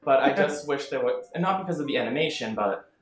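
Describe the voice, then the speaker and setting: A man's voice: mid-range voice, animated, quick pace. Male co-host for a casual review panel, recorded with room echo and faint music.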